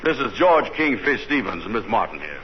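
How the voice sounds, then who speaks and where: A boastful man holds forth in low, easygoing tones and loose folksy grammar. The old broadcast comes through muffled with a faint hiss, in classic 1940s radio-comedy style. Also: very animated, brisk. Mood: bragging. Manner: folksy, slangy grammar, blustering. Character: boastful, smooth-talking man trying to impress a girl.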